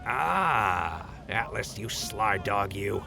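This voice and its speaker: Man playing a low, gravelly wisecracking buddy. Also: very animated, drawn-out words, a crowd in the background, full character voice. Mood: surprised, amused. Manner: casual, joking, advising. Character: easygoing, teasing male mentor and buddy. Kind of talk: post-apocalyptic audio drama acting.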